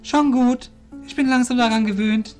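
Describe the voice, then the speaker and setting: A man's voice: extremely high pitch, steady tone, moderate pace, darkish tone, soft background music, voice pushed up. A man voicing an earnest, naive young illusionist, boyish and a little defiant, in a fantasy audio drama. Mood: flustered, defiant.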